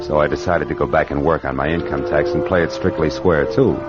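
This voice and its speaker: An actor playing a wry, worldly private detective with an American accent, narrating and talking in clipped hard-boiled radio noir style. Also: male voice, rumbling low, steady tone, brisk. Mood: wry, reflective. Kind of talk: 1940s-50s radio noir acting and first-person narration. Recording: muffled vintage radio recording with music.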